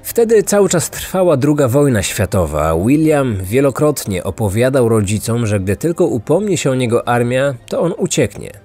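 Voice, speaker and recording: A man's voice: mid-range voice, big pitch swings, moderate pace, few pauses, mellow. Male narrator, medium register, scripted true-crime podcast delivery, light music bed underneath. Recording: music behind the voice. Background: background music.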